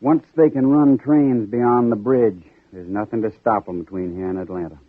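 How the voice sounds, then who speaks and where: An actor playing a polite, folksy corporal with a drawl and a sly purpose, in old radio drama style. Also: male voice, lowish voice, fairly even intonation, normal speed, no echo, close to an everyday voice. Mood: grave, sly. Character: folksy Southern corporal, secretly a cunning Union spy. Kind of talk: 1940s-50s radio drama acting. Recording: muffled narrow-band old radio recording.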